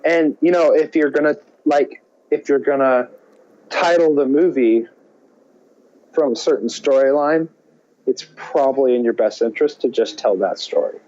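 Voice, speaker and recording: A man's voice: mid-range voice, normal speed, dark tone, dull audio. A man co-hosting a podcast in casual chat, rambling and opinionated as he goes. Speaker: podcast co-host.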